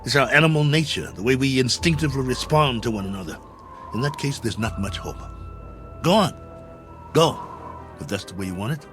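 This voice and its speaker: An actor with an American accent playing a stranded, weary, wry colonel in a 1960s television drama, explaining things in simple, halting phrases. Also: male voice, medium pitch, expressive, fairly bright tone, close to an everyday voice. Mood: exasperated, bitter. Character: stranded one-armed colonel, weary, wry, patient then exasperated spaceman. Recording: old TV soundtrack with music underneath.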